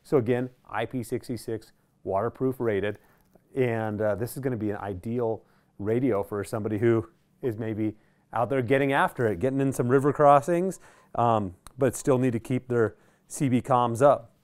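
An American man, around his thirties, giving a casual product demonstration in an informal, halting way with pauses. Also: medium pitch, a little mellow. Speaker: product review presenter. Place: small indoor workshop studio.

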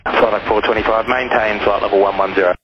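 A man working as an air traffic controller, giving measured, procedural instructions over aviation radio. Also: fairly low pitch, fairly even intonation. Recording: muffled lo-fi aviation radio, music behind.

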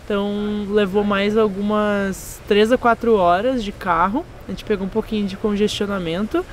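A young woman in her twenties from southern Brazil with a southern Brazilian accent, casually narrating a road trip, a little hoarse. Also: medium pitch, a little hiss or hum. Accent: southern Brazilian Portuguese. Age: 20s. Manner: friendly, relaxed storytelling with light laughs. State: slightly hoarse after a party. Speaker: travel vlogger. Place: outdoors, garden and hilltop trails.

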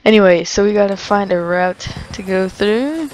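A woman's voice: medium pitch, normal speed, a little mellow, a little hiss or hum, low-quality recording. A young woman casually commenting while she plays a video game, chatty and rambling with frequent laughs. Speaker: let's play host. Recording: commentary over game sounds.

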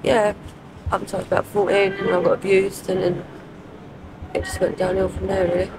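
South London woman over clear street noise. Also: mid-range voice, little pitch movement, fairly quick. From Croydon, South London, England. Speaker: homeless interviewee. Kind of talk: subdued street interview. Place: outdoors in a city doorway at night. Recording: noisy street with traffic ambience.

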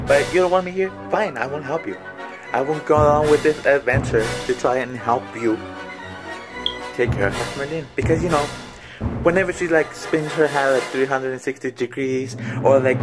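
A man's voice: medium pitch, lightly acted. Man doing a catty, fake-friendly gossip of a woman, snide delivery; phone-cam bedroom, faint music. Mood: petty. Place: small cluttered bedroom, indoors. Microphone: phone camera at arm's length.

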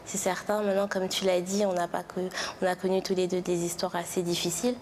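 A woman's voice: fairly low pitch, level tone, quick pace, a little mellow, a little hiss or hum. A woman in her twenties answering carefully and hesitantly in a TV studio, full of fillers. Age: 20s. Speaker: guest. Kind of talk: TV talk show reply. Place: large TV studio with audience. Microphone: lapel mic.

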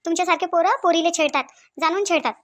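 A woman's voice: extremely high pitch, fairly even intonation. A woman voicing a feisty, fearless young cartoon woman who scolds sharply, with a Varhadi Marathi accent from Vidarbha. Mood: angry, scolding. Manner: sharp, scolding, rapid-fire. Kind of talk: cartoon comedy dialogue.

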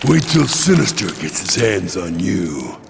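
A man playing a menacing, gloating, hulking henchman in a video game, with a gravelly voice. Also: deep voice, very animated, measured pace, full character voice. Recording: long reverb, clear noise behind.

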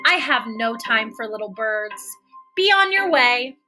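A woman's voice: a little high, animated, measured pace, over music. A woman voicing a busy, grumpy male tree in a storybook who refuses to help. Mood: dismissive.

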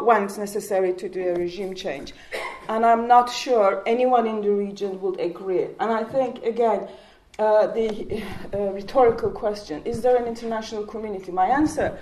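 A woman's voice: on the high side, a little mellow. A woman in her forties whose first language is Kurdish, speaking on a panel in a community hall with a handheld mic, impassioned, with rhetorical questions and frequent restarts. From Turkey. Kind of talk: public panel talk.

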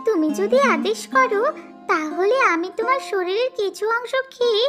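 Woman voicing a squeaky little fox girl. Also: lively intonation, normal speed, music in the background. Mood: eager, curious. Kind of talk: children's animated fable dialogue.

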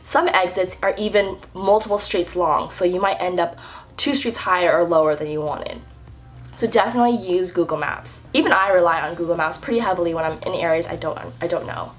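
A young woman in her twenties explaining things in a casual, upbeat vlog, her voice a little rough from a recent flu, filmed indoors on a camera at chest height. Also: fairly low pitch, a little fast, soft background music. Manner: chatty, upbeat, lively hand gestures. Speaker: vlogger. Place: indoor room with french doors.

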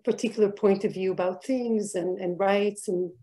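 A woman's voice: mid-range voice, steady tone, normal speed, dark tone. A middle-aged woman from Israel, an artist answering interview questions over a video call, speaking reflectively with many fillers and restarts. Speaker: artist guest.

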